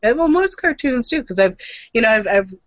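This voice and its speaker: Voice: medium pitch, very animated, a little fast, dry recording. A co-host chatting casually and informally, with a wry, joking way of talking. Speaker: co-host of a gaming and comics news show. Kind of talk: casual chat about comics, films and cartoons.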